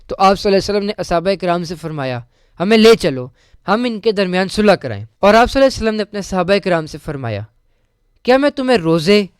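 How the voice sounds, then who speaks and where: A man presenting on radio, reading a scripted religious article in a formal, measured manner with careful enunciation. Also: high voice, smooth, no room sound, studio quality. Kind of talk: scripted devotional narration.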